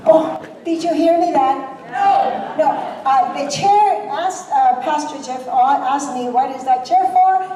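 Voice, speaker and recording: A woman's voice: high-pitched, unhurried, mellow, distant mic. A native Spanish-speaking woman giving playful, theatrical stage banter before her act, recorded from afar in a noisy, echoey hall. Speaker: talent show performer. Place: church event hall, before an audience.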